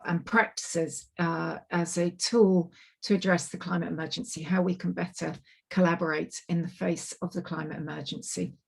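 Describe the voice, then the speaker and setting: A woman with a fairly low voice presents over a video call, speaking through her computer mic. Her voice is a little croaky and worn from travel, and she talks in a chatty way, filling pauses with ums and restarting her sentences. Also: fairly even intonation. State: slightly croaky and tired. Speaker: climate project manager giving a webinar talk. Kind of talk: online presentation, loosely scripted.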